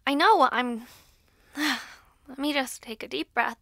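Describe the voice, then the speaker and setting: A woman acts out a nervous teenage schoolgirl who dabbles in spells. Her speech is flustered and halting, full of hesitation. It is an intimate audio roleplay addressed to the listener, with very dry sound. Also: high-pitched, creaky, a bit bright, dry recording, full character voice. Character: nervous schoolgirl Serena, fretful, eager amateur spellcaster.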